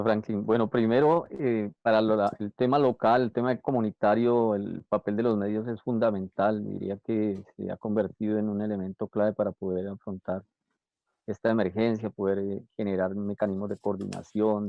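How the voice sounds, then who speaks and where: A man's voice: fairly low pitch, level tone, normal speed, creaky, no room sound. A man from Colombia speaking as a panelist on a video call, explaining steadily with frequent fillers. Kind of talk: virtual panel talk. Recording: tinny phone-like call audio.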